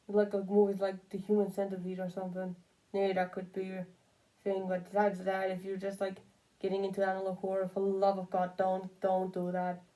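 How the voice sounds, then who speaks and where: A woman with a soft-edged, dark voice talks casually and off the cuff. She rambles through her opinions as a commentary creator, circling back to repeat phrases for emphasis. Her pitch sits in an ordinary middle range. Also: rough recording.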